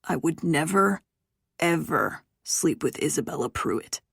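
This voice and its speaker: A woman doing a low, husky young heartthrob. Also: expressive, a little slow, a bit bright, no room sound, clean studio sound, full character voice. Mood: earnest. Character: young man, persistent brooding love interest.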